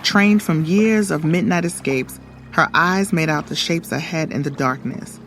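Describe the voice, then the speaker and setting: A woman's voice: low voice, unhurried, a little hiss or hum. A woman reading a scripted story for children as its narrator. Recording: music under the voice. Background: background music.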